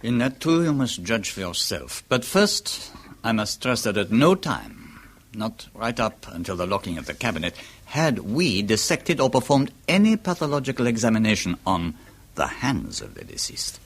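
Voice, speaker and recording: An actor playing a grimly precise pathologist, explaining formally and deliberately in a radio horror drama. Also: male voice, lowish voice, big pitch swings. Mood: grave, insistent. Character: French male pathologist, formal, grimly precise, self-satisfied.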